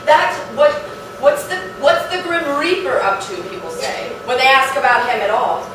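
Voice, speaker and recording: A woman's voice: high voice, lively intonation, normal speed. A woman acting a dreamy, affected undertaker's wife in a staged play reading, savouring each phrase theatrically. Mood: dramatic, aggrieved. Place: live reading in an echoey performance room. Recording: roomy, distant, noisy live theatre recording.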